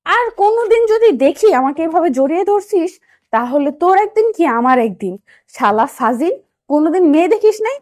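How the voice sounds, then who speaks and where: Woman doing a bratty uni girl, high voice, brash n scolding, audio story style. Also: very animated, normal speed, a little mellow. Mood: indignant. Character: feisty, sharp-tongued young female university student, quick to scold.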